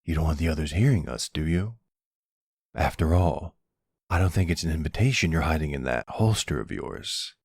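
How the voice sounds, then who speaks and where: A man's voice: lively intonation, moderate pace, a little mellow, no echo, exaggerated voice. A man playing a smug, flirty, teasing vampire in an intimate ASMR roleplay, with a playful, taunting drawl close to the microphone. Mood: smug warning. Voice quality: deep, gravelly, husky. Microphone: close studio-style microphone, dry.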